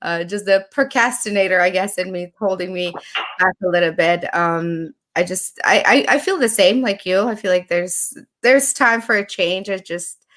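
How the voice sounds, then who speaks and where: A woman's voice: lowish voice, moderate pace, fairly bright tone. A woman hosting a podcast, chatting casually and laughing easily with her guest. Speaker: podcast host. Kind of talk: casual interview chat.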